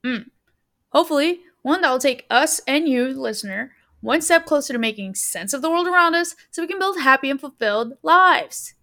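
A woman's voice: high-pitched, animated, a little fast, sharp tone. A woman in her twenties co-hosting a casual podcast, chatty with frequent laughs and fillers. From California. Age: 20s. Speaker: podcast co-host and interviewee. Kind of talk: casual conversational interview.

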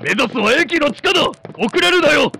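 Actor as a gruff, creaky-voiced blustering father. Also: extremely high pitch, animated, a little fast, noisy background, heavily acted. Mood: urgent barking. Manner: blustering, barking orders, easily embarrassed. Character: gruff, short-tempered old-fashioned Showa family father. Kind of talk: radio drama acting.

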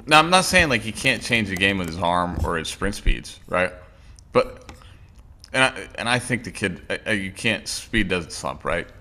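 A man's voice: low-pitched, very animated, normal speed, sharp tone. A man co-hosting a casual sports podcast, opinionated and rambling with restarts. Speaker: podcast co-host.

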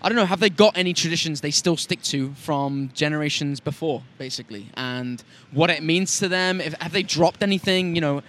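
A man's voice: on the high side, a little fast, few pauses, a bit bright, slight background noise. A young man hosting a casual street interview, chatty and encouraging, with plenty of fillers. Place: outdoors on a city street.

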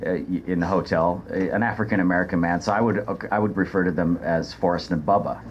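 A man's voice: low voice, fairly even intonation, fast, highs cut off, heavy background noise. A man telling comic stories casually as a podcast guest, with a chuckling, stammering delivery.

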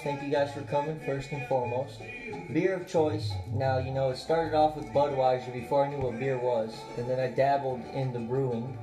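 A man with a country accent and Cuban roots, a podcast guest chatting in a loud, joking way. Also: mid-range voice. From Cuban family roots. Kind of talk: casual chat. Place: a house. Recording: roomy sound with music behind.

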